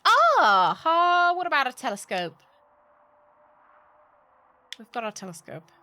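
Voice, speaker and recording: A woman's voice: high voice, huge pitch swings, slow pace, pushed and bright. A woman game streamer giving casual, playful live commentary, thinking aloud and exclaiming as she plays.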